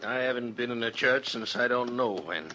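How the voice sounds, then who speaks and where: An actor playing a salty, grumbling frontier fellow who talks like a sailor in an old-time radio western. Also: male voice, medium pitch, fairly quick, bright, forceful tone, faint music behind, natural voice. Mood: wry grumbling. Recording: dull old radio recording, faint noise.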